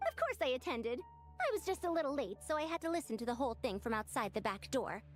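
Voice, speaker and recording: A woman's voice: high-pitched, sing-song, fast talker, soft background music. A woman voicing a proud, self-assured, youthful female scholar in a video game, boastful and pedantic. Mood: defensive, boastful.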